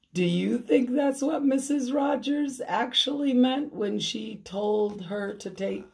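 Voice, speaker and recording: A woman in her fifties reading a children's story aloud expressively, deliberately, with questioning asides to young listeners. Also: fairly high pitch, creaky. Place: small room at home. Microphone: phone at arm's length.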